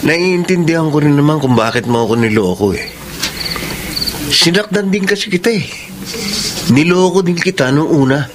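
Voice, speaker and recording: A man's voice: fairly high pitch, lively intonation, moderate pace, long pauses, noisy background, natural voice. An actor with a Filipino accent playing a lovesick, insistent young man pleading with his former lover in a radio drama. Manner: pleading, persistent questioning. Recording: radio drama over loud night insect ambience.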